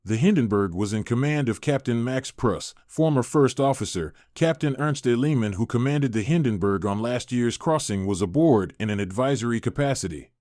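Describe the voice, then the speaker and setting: A man reads newspaper reports as scripted narration. His voice sits at a middle pitch, and the sound is dry, with no real room around it. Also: expressive, normal speed, hardly any pauses, a little mellow, dry recording.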